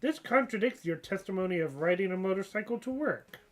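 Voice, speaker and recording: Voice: mid-range voice, expressive, fairly quick, close to an everyday voice. A stuffed-up channel host reading the part of a pushy young female detective in a game story, pressing a suspect with accusing questions. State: stuffed-up nose.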